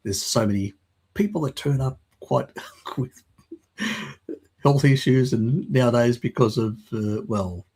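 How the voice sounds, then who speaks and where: A man, explaining things in a rambling, halting way on a video call, with a slight lingering croak from a cold. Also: medium pitch, a little mellow. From Australia. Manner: rambling, halting with fillers and restarts. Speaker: guest, works in mapping. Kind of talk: casual live-stream chat.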